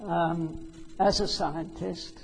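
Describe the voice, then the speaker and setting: A man's voice: fairly high pitch, steady tone, very slow, creaky, slight background noise. A man from the audience asking a long question with wandering, hesitant phrasing. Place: large hall. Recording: long reverb in a hall.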